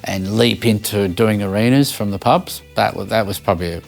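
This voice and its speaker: An Australian man with a fairly low voice chats casually in an interview, looking back on his working life. His phrasing is informal and halting, and there is music along with his voice. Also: gravelly. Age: middle-aged or older. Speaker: interviewed live sound engineer and audio company owner.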